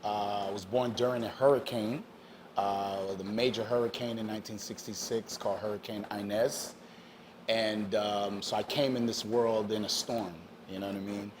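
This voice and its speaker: Haitian-born man, low relaxed voice. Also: moderate pace, noisy background. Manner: relaxed storyteller, many you-knows and ums, mixes in French. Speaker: DJ guest. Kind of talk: casual interview chat.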